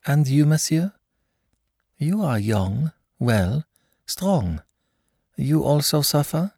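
A man's voice: a little high, sing-song, takes it slowly, vocal fry, warm tone, breathy edge, no echo, clean studio sound, voice pushed up, full character voice. A man reading an audiobook, voicing a young émigré mother who gushes and slips French phrases into her English. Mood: gushing. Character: anxious, effusive young émigré mother, woman.

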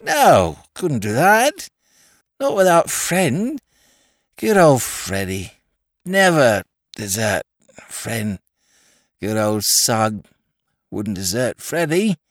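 A drunk, rambling lord. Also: male voice, mid-range voice, wildly swooping pitch, very slow, pauses often, no echo, studio quality, heavily acted. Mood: maudlin. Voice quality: slurred, drunken. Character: drunk aristocratic young Englishman, jolly, rambling.

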